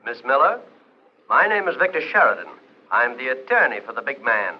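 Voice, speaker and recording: A man's voice: medium pitch, very animated, a little hiss or hum, lightly acted. Guarded, menacing radio-noir lawyer, played by a man. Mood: guarded, businesslike. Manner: measured, formal, hesitant politeness with veiled threat. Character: smooth, guarded, quietly menacing male lawyer for a gangster. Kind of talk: 1950s radio noir acting. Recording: muffled vintage radio recording, faint music.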